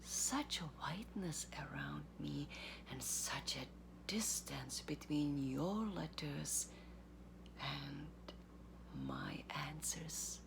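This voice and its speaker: A woman giving a literary reading, with deliberate, measured phrasing, long pauses and a breathy, gravelly voice. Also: deep voice, background noise. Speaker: artistic director of a theatre, reading aloud.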